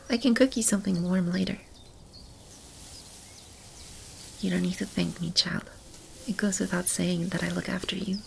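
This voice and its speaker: A woman playing a gentle, nurturing keeper of a magical animal shelter in an ASMR roleplay, speaking softly and soothingly to a child. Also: mid-range voice, creaky, a little hiss or hum. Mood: caring, reassuring.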